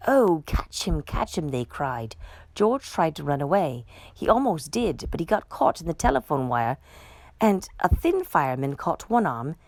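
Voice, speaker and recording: A woman reading a children's picture book aloud with expressive, dramatic storytelling. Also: lowish voice, vocal fry, dry recording.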